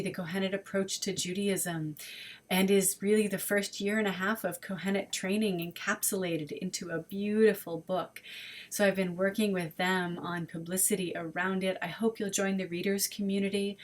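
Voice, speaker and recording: A woman who leads an online prayer service, making earnest, inviting announcements and listing ideas in long runs. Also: mid-range voice. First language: English. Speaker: program director and service leader.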